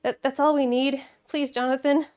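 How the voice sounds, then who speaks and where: A woman hosting a video with casual, informal commentary, expressive and wryly opinionated. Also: high voice, moderate pace. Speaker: video host. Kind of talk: casual video commentary with game play reactions. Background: background music.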